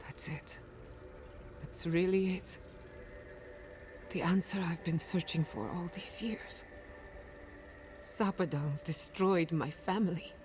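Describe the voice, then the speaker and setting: Wounded, sardonic scholar of souls, fantasy game; lowish female voice over music. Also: slow pace, long pauses. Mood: grieving. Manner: emotional, sardonic. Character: wounded, sharp-tongued female animancer seeking answers. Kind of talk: fantasy video game acting.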